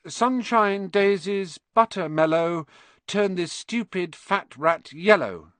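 A man's voice: high-pitched, lively intonation, takes it slowly, a bit bright, dry recording, pitched above the performer's own voice, full character voice. A man voicing a cheeky, blunt young schoolboy in a children's audiobook, with an offhand, emphatic way of talking. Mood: wary, awkward.

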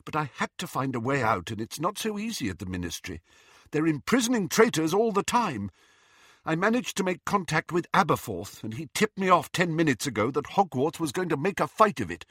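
A man's voice: fairly high pitch, sing-song, fairly quick, a bit bright, slightly rough, dry recording, studio quality, voice pushed up, heavily acted. A man voicing a pompous, flustered young man who splutters and then apologises. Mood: contrite.

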